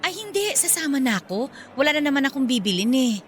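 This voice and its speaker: A woman's voice: sits high, very animated, fast talker, a little hiss or hum. An actress playing a considerate, bashful young mother in a Filipino radio drama, speaking in a hesitant, apologetic way. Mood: evasive.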